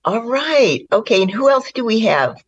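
A woman's voice: fairly high pitch, sing-song, moderate pace, husky. A woman hosting an interview, upbeat and chatty, with plenty of fillers. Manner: bubbly, with frequent fillers. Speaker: radio host. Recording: dull lo-fi sound.

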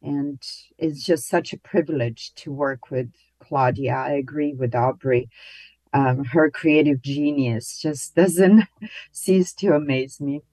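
A woman stage actor talking about her role, animated, pausing often. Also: deep voice, dry recording, low-quality recording. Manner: animated, with laughs and pauses. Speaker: stage actress.

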